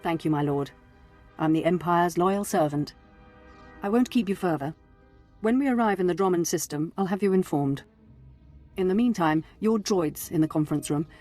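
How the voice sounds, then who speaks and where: An actor playing a dutiful, courteous imperial starship lieutenant in a video game, formal and brisk. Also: male voice, sits high, a little mellow, soft background music, lightly acted.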